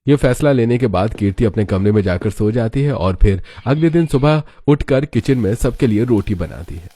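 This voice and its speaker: A man's voice: lowish voice, lively intonation, moderate pace, dark tone, husky. A man reading scripted narration for a cartoon story.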